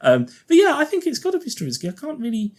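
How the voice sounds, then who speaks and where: A man's voice: very high-pitched, wildly swooping pitch, fast, darkish tone. A man with a Liverpool accent, a guest organist chatting casually on a podcast, often laughing and thinking aloud.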